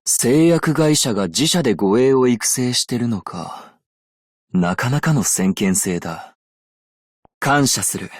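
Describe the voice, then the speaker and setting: A man playing a composed, shrewd and dignified desert merchant in a Japanese game, speaking in a measured, formal way. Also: on the low side, no room sound, clean studio sound. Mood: courteous, composed. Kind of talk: Japanese game character voice lines.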